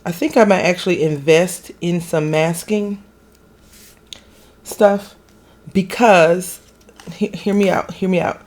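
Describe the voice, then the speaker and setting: A woman hosting a craft video, chatting casually as she stamps a card. Her voice is raspy and a little hoarse from being sick, and she rambles and corrects herself as she goes. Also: fairly low pitch, steady tone, slow, pauses often, darkish tone. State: recovering from illness, hoarse. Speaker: craft channel host.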